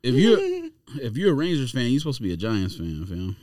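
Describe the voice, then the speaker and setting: Casting: male podcast co-host, mid-pitched, hugely varied intonation, blunt, slangy sports arguer. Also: huge pitch swings, fairly quick, darkish tone, no echo, clean studio sound. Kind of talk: casual sports banter.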